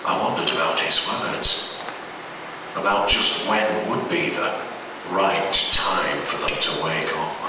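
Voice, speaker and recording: A man's voice: low-pitched, slow. A man reading a horror story aloud at a live event with a dramatic delivery. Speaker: story reader. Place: live event in a reverberant room, distant mic. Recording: echoey, noisy live hall recording from far off.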